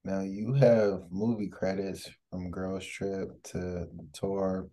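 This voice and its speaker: A man hosts a casual interview, laid-back and quick to affirm with slang. His voice is very deep and extremely dark, with a flat, even delivery. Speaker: interview host.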